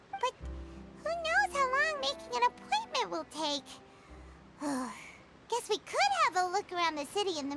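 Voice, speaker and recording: A woman's voice: extremely high pitch, full character voice. A woman voicing a tiny, chatty, curious fairy companion who talks about herself in the third person, with game music underneath.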